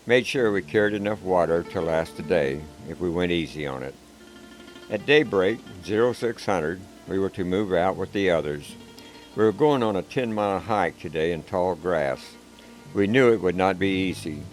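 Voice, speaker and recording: A man reading a first-person war story in a calm, measured, plain-spoken way. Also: deep voice. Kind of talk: scripted first-person narration. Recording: background music.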